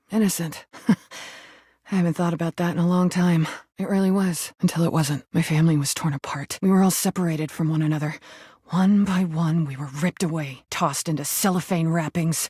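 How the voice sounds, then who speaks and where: A woman's voice: fairly low pitch, darkish tone, husky, dry recording, studio quality. A woman voicing an angry, wounded female rubber duck in a video game, blunt and defensive but easily made vulnerable. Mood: reflective, sincere. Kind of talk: video game character acting.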